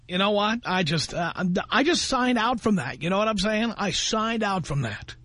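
A man's voice: high-pitched, lively intonation, normal speed, sharp tone, no room sound. A man hosting a talk radio show, brash and sarcastic as he banters with callers. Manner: brash, sarcastic, mocking put-on voices.

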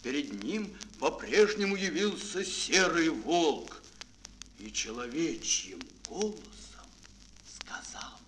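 A man's voice: a little high, big pitch swings, slow, fairly bright tone, slight background noise. A man narrating a children's fairy tale in a theatrical, declamatory storytelling style. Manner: dramatic, declamatory. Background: prominent music.

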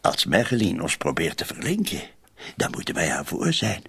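An actor with a Flemish accent playing a scheming, suspicious conspirator in a radio drama, insistent and often dropping to near-whispers. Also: male voice, sits low, sing-song, moderate pace, vocal fry, a bit bright, slightly rough, heavily acted. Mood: conspiratorial.

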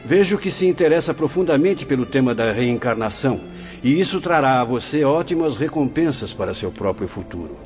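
A man's voice: medium pitch, lively intonation, fairly quick, a little mellow, close to an everyday voice. An actor with a Brazilian accent playing a pious, fatherly elder friar, speaking formally and reassuringly in a radio novel. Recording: dull lo-fi audio with faint music.

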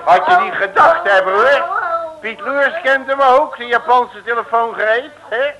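An actor in a 1960s radio comedy serial playing a blustering, streetwise detective with a cocky, colloquial way of talking. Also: male voice, extremely high pitch, measured pace, crowd noise. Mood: cocky, triumphant. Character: brash, blustering streetwise male detective, comic hero. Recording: noisy old radio recording.

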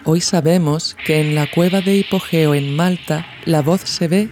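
A man from reads a scripted literary audio essay in a measured, formal way. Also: a little high, steady tone. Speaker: podcast narrator. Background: music.

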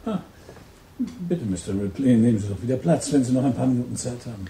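A courteous, officious man played by a male actor speaks in a very dark, mellow voice. He is formal and a little hesitant, in a roomy radio drama scene. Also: mid-range voice, lively intonation, quick pace, a little hiss or hum, close to an everyday voice. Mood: defensive, polite. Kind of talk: German radio drama acting.

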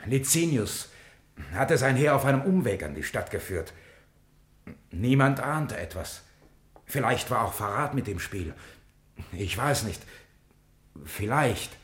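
An actor playing a grim, shaken, deferential bearer of bad news in a 1950s German radio play, speaking gravely and haltingly. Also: male voice, medium pitch, big pitch swings. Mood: grim, haunted. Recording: echoey, roomy radio studio recording.

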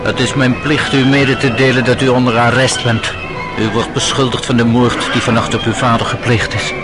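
An actor playing a formal, dutiful police officer in a radio play, official and unemotional. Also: male voice, mid-range voice, level tone, moderate pace, close to an everyday voice. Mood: official, grave. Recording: radio play with loud music underneath.

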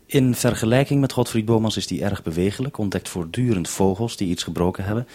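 Low, husky Dutch radio host. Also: male voice, fast talker, mellow. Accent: Netherlandic Dutch. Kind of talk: scripted radio introduction and two-way radio link. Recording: vintage 1970s radio broadcast, slightly husky sound.

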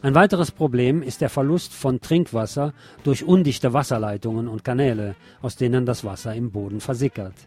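Mann liest Nachrichten, Musik im Hintergrund. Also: male voice, mid-range voice, moderate pace, a little mellow. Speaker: radio show host reading local news. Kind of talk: scripted news with personal commentary. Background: music with guitar.

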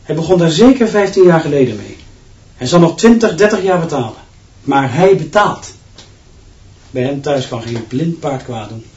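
Guy acting cynical gossipy teacher in a radio drama, insistent, kinda high voice, roomy and off the mic. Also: lively intonation, moderate pace, darkish tone, natural voice. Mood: confiding, knowing. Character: gossipy male teacher colleague, cynical, insistent, awed by headmaster. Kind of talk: radio play dialogue. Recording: roomy, distant, slightly dull sound.

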